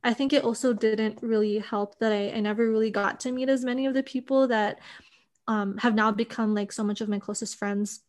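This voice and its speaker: A woman's voice: a little high, steady tone, brisk. Young woman from Hawaii, reflective. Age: young adult. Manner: thoughtful, many fillers like "like" and "you know". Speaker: interviewee. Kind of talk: reflective personal storytelling.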